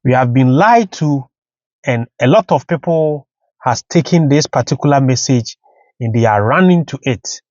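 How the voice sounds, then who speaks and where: A man from Ghana with a Ghanaian accent giving unscripted commentary in halting, deliberate short phrases. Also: mid-range voice, lively intonation, darkish tone, no room sound, lo-fi sound. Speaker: channel host and commentator.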